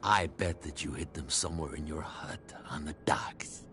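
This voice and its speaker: An actor playing a surly male bootlegger thug in a video game, mocking and often hushed. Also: very deep voice, normal speed, background noise.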